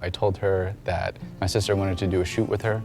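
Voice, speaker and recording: A man's voice: low voice, level tone, fairly quick, music in the background. A man in his late twenties telling an offhand, laid-back story. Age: 20s. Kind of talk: casual anecdote.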